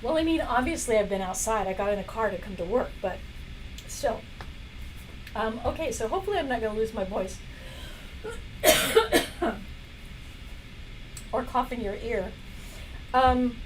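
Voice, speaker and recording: A woman hosting a casual product demo live stream. She is losing her voice and keeps coughing and clearing her throat between chatty remarks. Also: on the high side, a little hiss or hum, low-quality recording. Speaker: live shopping stream host. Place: indoor workplace studio.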